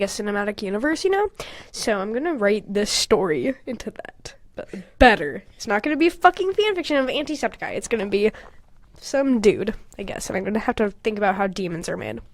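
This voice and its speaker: A woman's voice: medium pitch, dry recording. A woman podcast host reading her own fanfiction aloud, breaking off into candid, self-mocking, casual asides with plenty of swearing.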